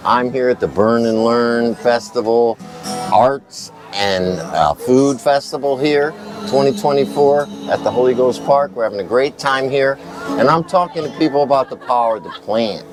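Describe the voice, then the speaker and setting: Male radio host, mid-pitched and informal, interviewing out at a festival with music behind. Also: normal speed. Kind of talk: casual on-location interview. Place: at a festival in a park. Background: music and crowd.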